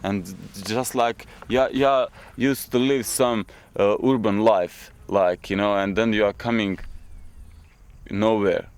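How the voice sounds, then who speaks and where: A low-pitched man with a Bosnian accent gives casual interview answers. His English is a second language, so he moves haltingly and leans on small filler phrases like "you know". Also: fairly even intonation, smooth. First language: Bosnian-Serbo-Croatian.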